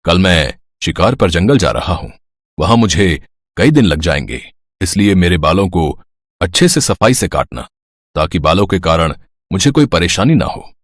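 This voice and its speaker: A man's voice: low-pitched, big pitch swings, moderate pace, dry recording. Man acting an imperious king, dry close sound. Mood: commanding. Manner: commanding, formal. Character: imperious adult king, quick to anger. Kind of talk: Hindi animated moral story dub.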